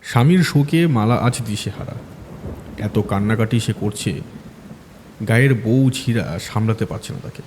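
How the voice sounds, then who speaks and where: A man narrating a village ghost story in a measured, dramatic manner. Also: on the low side, expressive, darkish tone, a little hiss or hum. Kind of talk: scripted horror audio story narration.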